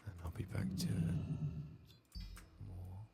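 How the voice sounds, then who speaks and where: A man doing soft-spoken, whispered ASMR game commentary in a hushed, breathy, gravelly voice, murmuring without hurry. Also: low-pitched, level tone, takes it slowly, a little hiss or hum. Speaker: ASMR game playthrough host.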